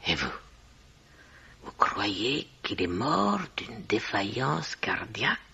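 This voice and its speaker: An actress playing a sly, nosy hotel woman turned amateur detective in a radio mystery, husky and gravelly, deliberate, insinuating and dryly detached. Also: a bass voice, sing-song, bright, forceful tone, heavily acted. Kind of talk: French radio mystery drama acting. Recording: dull vintage-style radio recording.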